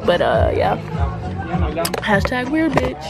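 A woman's voice: high-pitched, wildly swooping pitch, slow pace, over loud music. A young woman in her twenties vlogging casually, talking straight to her viewers in loose slang, recorded close on a handheld phone. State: raspy morning voice. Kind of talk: casual vlog chat. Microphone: handheld phone camera close up.

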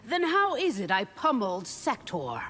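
An actress playing a proud, indignant and devout warrior in a video game. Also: female voice, a little high, wildly swooping pitch, moderate pace. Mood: proud indignation. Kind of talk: video game fight intro dialogue.

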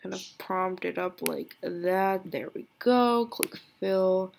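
A young woman giving a casual screen-recorded software tutorial, chatty and giggly, thinking aloud with a voice lower than usual today. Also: slow pace, creaky, background noise. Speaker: tutorial creator.

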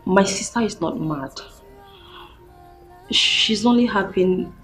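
A humble, protective Nigerian sister, pleads deferentially. She speaks very slowly and haltingly, with faint music behind her in a film drama. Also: mid-range voice, fairly bright tone, low-quality recording. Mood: pleading.

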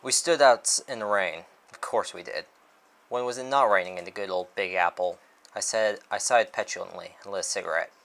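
A man's voice: low voice, fairly quick, vocal fry, fairly bright tone. A man voicing a weary, irritable detective narrating a noir story in the first person. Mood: world-weary, wry.

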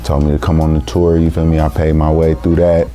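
A man in his thirties from San Francisco, a rapper being interviewed in a studio, talking in a slangy, laid-back street manner with a very deep voice. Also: little pitch movement, a little fast, mellow, soft background music. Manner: slangy, laid-back street talk, constant "you feel me". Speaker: rapper guest. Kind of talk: casual interview talk. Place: small recording studio room.

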